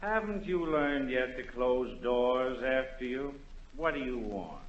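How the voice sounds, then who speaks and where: A man's voice: medium pitch, expressive, a little hiss or hum. A man playing a brusque, grumbling executioner who lives by the rules, in 1940s radio drama style. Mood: grumpy, impatient. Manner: brusque, exasperated, drawn-out words. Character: gruff, grumbling male royal executioner, bound by rules. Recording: dull, roomy 1940 radio recording.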